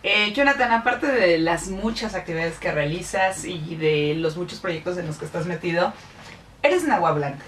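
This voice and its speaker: A woman's voice: on the low side, normal speed, a bit bright. Woman, interviewer, friendly questions, faint background noise. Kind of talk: casual interview. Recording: echoey room, mic at a distance, faint noise.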